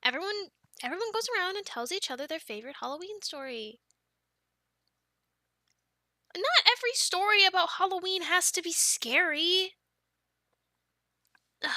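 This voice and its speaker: Woman acting a high, bright-voiced playful young friend. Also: no room sound, clean studio sound, exaggerated voice. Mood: pouty, defensive. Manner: expressive, teasing, breaking into breathy near-whispers. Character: playful young woman friend, pouty, defensive, telling campfire stories. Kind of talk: ASMR roleplay.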